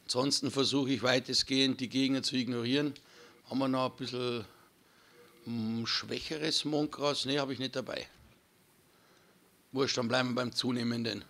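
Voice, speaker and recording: A hoarse man talks through a video game in a relaxed, chatty way, calling his viewers friends. His voice has a bright, forward edge despite the hoarseness. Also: medium pitch, normal speed, pauses often. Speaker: gaming channel host. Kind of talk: casual let's-play commentary.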